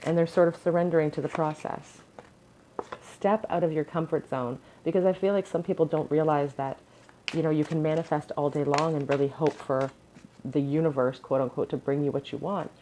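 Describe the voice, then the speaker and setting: Low, crackly-voiced woman reading tarot. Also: steady tone, brisk, dark tone. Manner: earnest, advising, with frequent ums and restarts. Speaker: tarot reader. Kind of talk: pick-a-card tarot reading.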